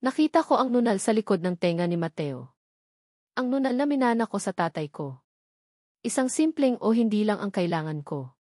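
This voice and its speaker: A woman's voice: mid-range voice, animated, moderate pace, a little mellow, dry recording, studio quality, natural voice. A woman voicing a wounded, intense male police captain in a romantic audio drama, grave and accusing. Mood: grave, pained.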